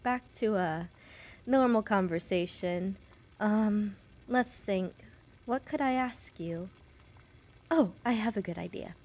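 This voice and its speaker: A woman's voice: mid-range voice, slow pace, pauses often, a little mellow. A woman voicing a shy, kind young witch who works as an assistant nurse, chatty and apologetic, in an audio roleplay for one patient. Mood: chatty, friendly. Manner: rambling, apologetic, nervously giggly.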